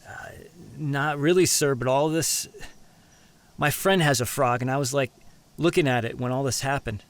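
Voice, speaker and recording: An actor playing an anxious, earnest young man in an audio drama, halting and deferential. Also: medium pitch, steady tone, clean tone, close to an everyday voice. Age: young adult. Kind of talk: naturalistic audio drama acting.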